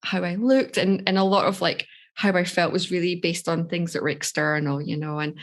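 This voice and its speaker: Low-voiced Scottish woman telling her story. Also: steady tone, moderate pace. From Scotland. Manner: reflective, candid, laughs easily. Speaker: life coach guest. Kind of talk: podcast interview, personal story.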